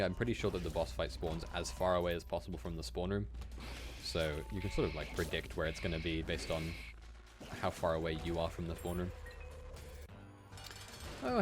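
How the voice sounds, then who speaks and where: Deep-voiced young British man streaming. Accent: general British. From Wales. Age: young adult. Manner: chatty, laughs often, talks to chat. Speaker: game streamer. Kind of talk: casual gameplay commentary. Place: indoor room at home.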